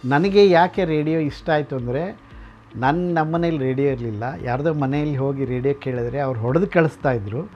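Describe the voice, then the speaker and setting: A man's voice: mid-range voice, darkish tone, slight background noise. A middle-aged man gives an informal, explanatory guided talk as a collector, mixing in English words. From Karnataka, India. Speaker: radio collector and museum founder. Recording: dull lo-fi sound, music behind. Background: music.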